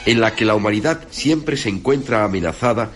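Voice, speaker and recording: A man's voice: lowish voice, animated, a little fast, soft background music. A man reading scripted literary commentary as a radio narrator.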